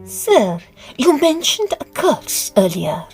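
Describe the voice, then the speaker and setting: A woman's voice: sits high, sing-song, slow, creaky, a little mellow, faint music behind, exaggerated voice. A woman playing a bold, curious Victorian opera singer, imperious and well-spoken, in a period audio drama. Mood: curious, insistent.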